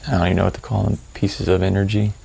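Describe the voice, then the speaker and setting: A man leading a guided meditation in a calm, unhurried, conversational way, with long pauses and plain, informal wording. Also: low-pitched, creaky, husky.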